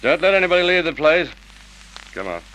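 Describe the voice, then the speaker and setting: A male actor playing a blunt, businesslike homicide sergeant in an old radio detective drama, firing questions gruffly. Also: a little high, very animated, a little fast, fairly bright tone, lo-fi sound. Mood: brisk, businesslike. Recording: noisy vintage radio drama recording.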